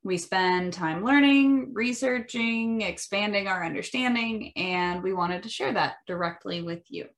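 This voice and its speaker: A woman with a Midwestern American accent hosting an educational monologue, thoughtful and often pausing with ums and restarts. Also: medium pitch. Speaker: podcast host.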